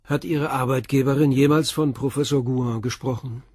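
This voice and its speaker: An actor playing a calm middle-aged police inspector in a radio drama, asking short, persistent questions. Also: male voice, mid-range voice, normal speed, slightly rough, no room sound, studio quality, natural voice. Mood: probing.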